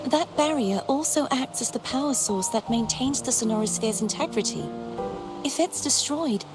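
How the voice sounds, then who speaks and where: An actress voices a young maiden of a video game, her voice fairly high over prominent music. She is composed and wistful, and phrases things formally, with the measured air of someone long alone. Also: natural voice. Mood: grave. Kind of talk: scripted video game dialogue.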